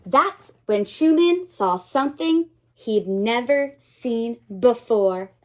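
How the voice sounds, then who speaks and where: A woman teacher reads a picture book aloud to children in an expressive, dramatic way, with pauses and asides to her young listeners. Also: on the high side.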